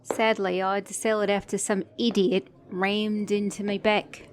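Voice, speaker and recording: A woman's voice: medium pitch, flat delivery, moderate pace, natural voice. Flirty, sassy woman mechanic played by an actress. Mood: nostalgic, chatty. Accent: British or Australian. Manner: teasing, intimate, addressing the listener as a date. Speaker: ASMR roleplay voice actor. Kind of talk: ASMR romance roleplay.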